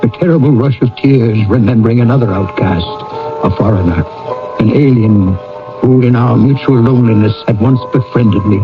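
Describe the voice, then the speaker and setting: A man's voice: medium pitch, moderate pace, close to an everyday voice. An actor playing a frightened, defensive old miser in a radio drama, theatrical and wavering as he tells his tale. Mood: wistful, choked. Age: older. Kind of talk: dramatic radio acting and storytelling. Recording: muffled old radio recording with music.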